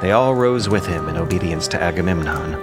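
A man giving measured, theatrical narration of an epic audio drama over music. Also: low voice, expressive.

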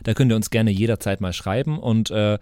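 A man's voice: fairly low pitch, a little fast, dark tone, dry recording, studio quality. A man co-hosting a podcast in casual, jokey banter with a touch of mock showmanship. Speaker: podcast co-host. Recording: music behind the voice. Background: music.

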